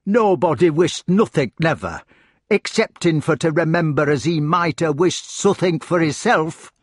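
A man's voice: on the high side, lively intonation, normal speed, slightly rough, no echo, studio quality, voice pushed up. A man voicing a small, indignant, vulgar hedgehog in a storybook, with a rustic English country dialect and a truculent, folksy, sarcastic manner. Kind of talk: audiobook character voice.

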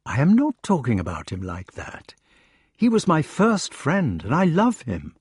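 Man voicing an impassioned knightly lover, wide-swinging pitch. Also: wildly swooping pitch, unhurried, breathy edge, no room sound, studio quality, heavily acted. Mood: insistent, apologetic. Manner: pleading, impassioned. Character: ardent, miserable male knight, pleading lover, no longer young. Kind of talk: audiobook character dialogue.

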